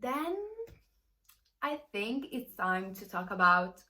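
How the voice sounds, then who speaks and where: A young woman with an Italian accent, a native Italian speaker, reviewing the books she read in a casual vlog and often pausing to search for English words. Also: medium pitch, lively intonation, bright, forceful tone. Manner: hesitant, searching for English words, many fillers. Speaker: book vlogger.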